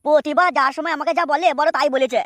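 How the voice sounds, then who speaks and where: A woman's voice: high voice, fairly even intonation, very fast, no echo, close to an everyday voice. A woman voicing a brazen, sly village man carrying on a secret affair in a comic cartoon, talking in a cheeky, colloquial way. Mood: sly, eager.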